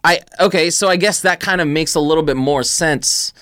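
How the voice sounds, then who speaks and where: A man's voice: fairly high pitch, moderate pace, pushed and bright, smooth, dry recording, clean studio sound. A man co-hosting a podcast, talking casually and conversationally with plenty of slang. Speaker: podcast co-host.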